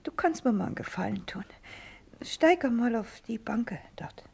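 An actress playing a sick, fretful wife lying gravely ill in bed in a radio drama, plaintive, with a weak and breathy voice. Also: female voice, a little high, animated, moderate pace, a little mellow, full character voice. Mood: weak, tender. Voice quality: weak, breathy, half-whispered. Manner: plaintive, querulous. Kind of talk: 1960s German radio drama acting. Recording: noisy old radio play recording.

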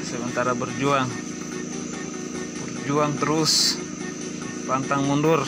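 A man's voice: fairly even intonation, takes it slowly, over loud music. A man with an Eastern Indonesian accent giving casual running commentary as a miner filming on a handheld phone. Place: cramped underground mine tunnel. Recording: loud rumbling noise, handheld phone.

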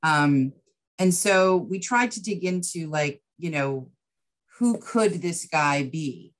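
Low-voiced middle-aged woman explaining thoughtfully. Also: expressive, takes it slowly. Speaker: interview guest, writer and producer. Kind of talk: conversational, explanatory interview talk. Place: indoors, book-lined room, video call.

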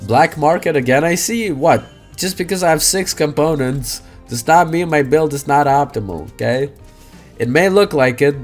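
A young man casually commentating his own gameplay on a headset mic, swinging into loud, swearing outbursts. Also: mid-range voice. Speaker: game streamer. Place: small room indoors.

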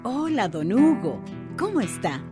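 A woman's voice: a little high, very animated, unhurried, music in the background. A woman playing a patient, persuasive police corporal in a radio soap, courteous and earnest.

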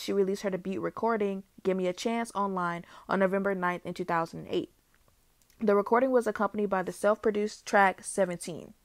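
A young woman hosting her own channel, reading a biography aloud in a steady way with small restarts. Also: mid-range voice, dry recording. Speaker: YouTube host. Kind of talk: read-aloud biography narration.

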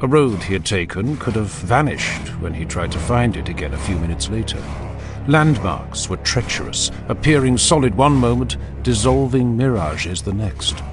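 A man narrating a dramatic audiobook in a solemn, deliberate storytelling manner. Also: fairly low pitch, very animated, music in the background.

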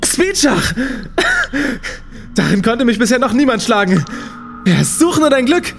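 A man's voice: very high-pitched, very animated, takes it slowly, vocal fry, a bit bright, full character voice. An actor playing a young male student adventurer in an audio drama, boyish and colloquial. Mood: cocky.

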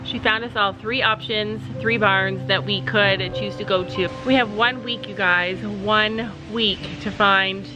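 A middle-aged mother talking to her phone camera on an outdoor porch in a casual vlog, halting and emotional, with many ums and sighs. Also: mid-range voice, fairly even intonation, poor audio. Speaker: family vlogger, mother. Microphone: phone held at arm's length. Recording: background music under the voice. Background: music.

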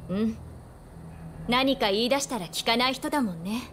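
A woman's voice: a little high, moderate pace, a bit bright, slight background noise, natural voice. A woman playing a spirited, caring young woman of old Japan in a video game, with a familiar, plain-spoken feminine manner. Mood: friendly, concerned. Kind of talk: video game cutscene acting.

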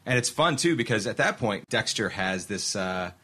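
Man, middle-aged, low husky voice, podcast host, casual talk, frequent laughs and restarts. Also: expressive, sharp tone. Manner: laughs often, halting with many restarts.

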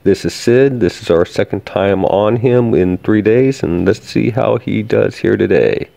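Calm man riding on a trail. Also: lowish voice, unhurried, creaky, no room sound. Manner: calm, plain-spoken, frequent fillers. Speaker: horse trainer. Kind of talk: on-horseback training commentary. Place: outdoors on a wooded trail, riding a horse. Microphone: head-mounted action camera.

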